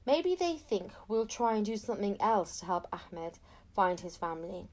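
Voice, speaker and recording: A woman's voice: medium pitch, moderate pace, lightly acted. A woman voicing a puzzled primary school child in a storybook, speaking in an earnest, questioning way.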